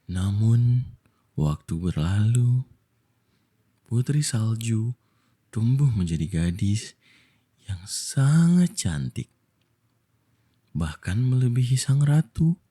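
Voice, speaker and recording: A young Indonesian man with a soft, breathy voice, telling a bedtime story in an intimate ASMR style, playful and affectionate like a boyfriend. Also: lowish voice, lively intonation, slow pace, pauses often.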